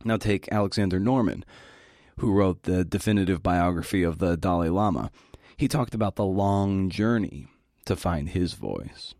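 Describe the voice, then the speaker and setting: A middle-aged man with a low voice delivers a prepared talk, dropping in casual asides. He is folksy and encouraging. The sound is close and dry, with little room around it. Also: normal speed, darkish tone, dry recording. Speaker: podcast host giving a talk.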